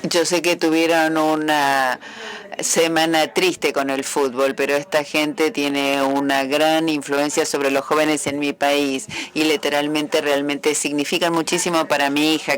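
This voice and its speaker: A man interpreting a medical lecture into Spanish in a steady, fluent delivery. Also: fairly high pitch. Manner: steady, even, fluent delivery with "este" fillers.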